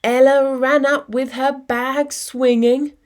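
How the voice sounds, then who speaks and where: A woman reading a children's picture book aloud in an expressive, deliberate storytelling manner with dramatic pauses. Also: high voice. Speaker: storytime reader.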